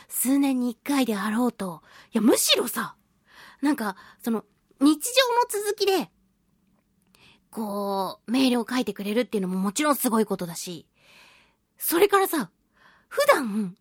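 A woman's voice: sits high, no echo, studio quality. A woman hosting a solo radio show, reading listener mail in a chatty, giggly, playfully gushing way. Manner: playful, gushing, with exaggerated pleading; chatty, giggly, reacting aloud to what she reads.

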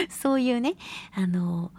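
High, breathy woman chatting on radio. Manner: chatty, giggly, expressive with acted asides. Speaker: radio show host and voice actress. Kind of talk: casual solo radio talk, reading listener mail.

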